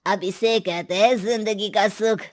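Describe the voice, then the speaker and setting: A woman's voice: medium pitch, steady tone, a little slow, lightly acted. A woman voicing a selfish, careless young man with a dismissive manner in a moral story. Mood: smug. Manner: dismissive, self-centred.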